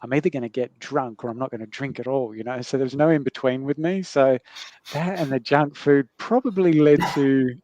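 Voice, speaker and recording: A man's voice: mid-range voice, brisk, dark tone, no room sound, lo-fi sound. A middle-aged man tells his life story in a loose, rambling way, full of fillers and restarts. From Netherlands. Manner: rambling, many fillers and restarts, laughs between remarks. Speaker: guest sharing his health story. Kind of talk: casual conversational storytelling.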